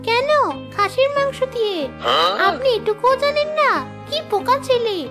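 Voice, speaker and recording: A vain young cartoon daughter with a tiny, extremely high voice. She sounds girlish, whiny and petulant, as in a family drama. Clear tinkly music plays behind her. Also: normal speed. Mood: silly, cheeky.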